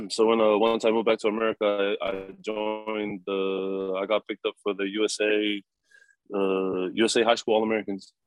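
A young man in his twenties, a rugby player answering interview questions in a relaxed, halting way with many fillers and chuckles. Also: lowish voice, dry recording, rough recording. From New Zealand. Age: 20s.

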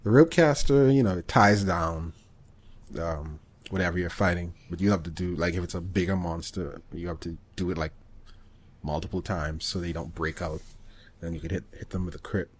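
A man with a fairly low voice talks casually as a podcast co-host about video games. His delivery is informal and a bit halting as he explains how he does things. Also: breathy edge.

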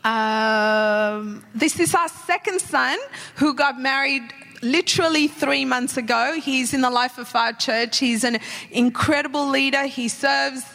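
A woman in her forties, raised in Sri Lanka, with an Australian accent carrying Sri Lankan traces. She speaks warmly to a church and leads a fervent prayer, repeating "Lord God". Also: high voice, a little slow, creaky. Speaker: pastor's wife, guest speaker. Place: church hall. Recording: echoey church hall.

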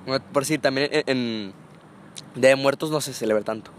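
A man's voice: mid-range voice, fairly quick. A man from Sonora with a northern Mexican accent, chatting casually and patiently explaining holiday customs. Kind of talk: casual chat.

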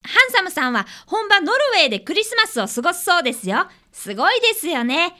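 A woman acts out an eager schoolboy apprentice detective for a radio play. The voice is very high and bright, with lively swings. He is polite and earnest, with a touch of Kansai accent. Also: fast, full character voice. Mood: cheerful, polite. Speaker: radio drama actor and narrator. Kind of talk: community radio drama acting.

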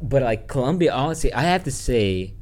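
A man co-hosting a podcast in casual banter, joking and halting as he repeats himself. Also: mid-range voice, lively intonation, a little mellow. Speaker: podcast co-host.

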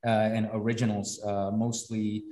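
Mellow low-voiced man, rambling. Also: level tone, moderate pace. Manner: rambling, frequent fillers and restarts. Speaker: musician guest. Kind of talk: casual chat.

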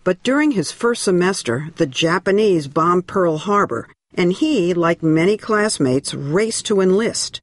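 A woman correspondent narrating a television news feature in a measured, scripted way. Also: fairly low pitch, lively intonation. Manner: measured, deliberate.

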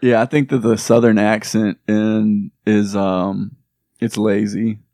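A man with a gravelly, mellow, low voice talks casually and leans on fillers. His delivery stays flat and even. The recording is close and dry. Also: slow, dry recording. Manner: laid-back, full of fillers like "you know" and "like". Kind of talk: casual podcast chat.

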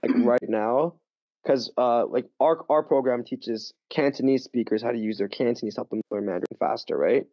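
A man's voice: mid-range voice, no room sound. A man chatting as a podcast guest, telling stories animatedly with lots of fillers and restarts. His audio is dull and muffled, with a dry, close sound. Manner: animated storytelling, full of fillers and quick restarts. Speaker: podcast guest, language teacher. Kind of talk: casual interview chat.

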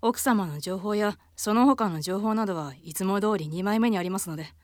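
A woman's voice: medium pitch, a little fast, darkish tone, no echo, clean studio sound, voice pushed down, close to an everyday voice. A woman voicing a composed, polite female assistant in a voice drama, speaking formally. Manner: formal and deferential. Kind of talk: voice drama acting.

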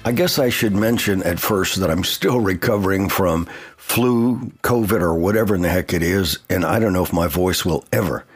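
A man hosts a casual podcast in a friendly, joking manner. His voice is nasal from a recent flu, low and slightly husky. The sound is dry and close. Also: normal speed, dry recording, faint music behind. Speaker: podcast host.

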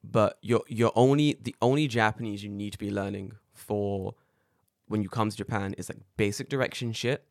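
A man co-hosting a casual podcast, his voice a little raspy, telling stories with lively acted-out quotes and plenty of swearing. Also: on the low side, normal speed, no room sound, studio quality. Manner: animated storyteller acting out quoted dialogue, swears freely. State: slightly raspy voice after karaoke. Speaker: podcast co-host. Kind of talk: casual chat.

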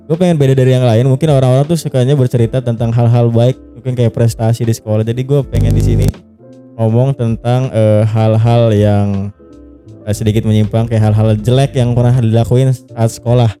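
A man's voice: mid-range voice, flat delivery, fairly quick, dark tone. A young man from Java hosting a podcast in casual slang, teasing, joking and swearing freely. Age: young adult. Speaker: podcast host. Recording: music playing behind the voice. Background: faint music.

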